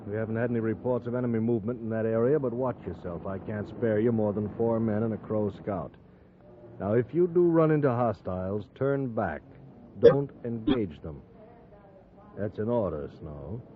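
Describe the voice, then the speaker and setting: An actor playing a stern, cautious US Army captain in a 1950s radio drama, brisk, clipped and authoritative. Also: male voice, on the low side, lightly acted. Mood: commanding. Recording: muffled 1950s radio, faint noise.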